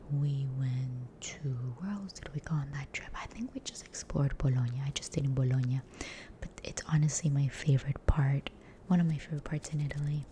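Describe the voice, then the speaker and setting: A young woman whispering a rambling story close to the mic, softly repeating words to herself. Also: rumbling low, a little fast, a little hiss or hum. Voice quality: unvoiced whisper.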